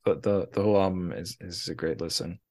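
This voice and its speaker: Very low-voiced man hosting a podcast. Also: fairly quick, gravelly, a little mellow. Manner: hesitant, self-correcting. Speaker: podcast host, interviewer. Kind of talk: casual interview chat.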